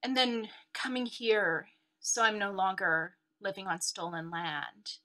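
Bright-voiced woman. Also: mid-range voice, unhurried. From Ontario, Canada. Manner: reflective, chatty with many likes and ums. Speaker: guest, dancer and researcher. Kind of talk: casual interview chat.

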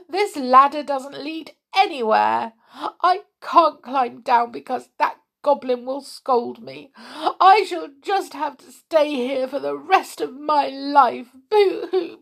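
A woman voicing a whiny, frightened little girl in a children's storybook, tearful and prone to shrill outbursts. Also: slow pace, exaggerated voice. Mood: miserable sobbing. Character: frightened, sulky, shrieking little girl on a ladder.

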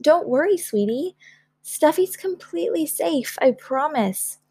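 A woman voicing a gentle mother in a children's storybook, soothing and affectionate. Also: a little high, animated, moderate pace, breathy edge, no room sound. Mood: reassuring, upbeat. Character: gentle, reassuring mother of a young girl.

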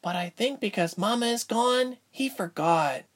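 A man's voice: high-pitched, expressive, measured pace, pitched above the performer's own voice. A man voicing an earnest, grieving little girl in a storybook narration. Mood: sad, worried.

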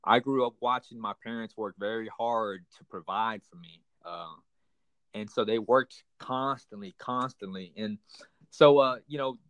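A man's voice: on the low side, a bit bright, smooth, no echo, rough recording. Earnest man sharing his story, dry sound. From United States, raised in Houston, Texas. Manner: earnest, halting with many fillers and restarts. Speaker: guest. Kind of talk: personal testimony in an interview.

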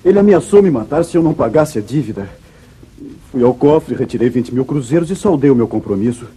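An insolent, wayward son in an old Brazilian radio serial. The voice is very husky and mellow, swinging widely in pitch as he defends himself. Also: lively intonation, brisk. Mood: defiant. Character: defiant, wayward adult son, a gambler in debt. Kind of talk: 1950s Brazilian radio drama acting.

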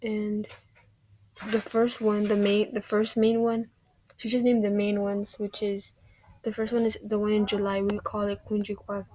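Flat, husky young woman podcaster. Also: mid-range voice, normal speed, no room sound. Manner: chatty, fillers, drifts into whispery stretches. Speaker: podcast host. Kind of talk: casual podcast recap.

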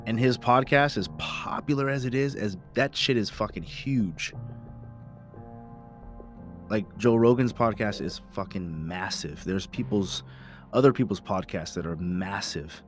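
A man in his thirties hosting a solo podcast, talking in a rambling, candid, profane way with lots of filler. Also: deep voice. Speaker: podcast host. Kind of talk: casual solo podcast monologue.

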